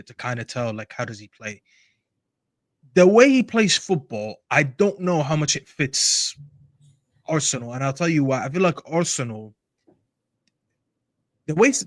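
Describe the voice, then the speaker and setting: A young man from Egypt with an American accent, talking football in a loose, rambling way and stumbling over repeated words. His voice sits at a middle pitch. It sounds dry and close, with almost no room echo. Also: fairly bright tone. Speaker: football podcast panelist. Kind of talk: casual football debate.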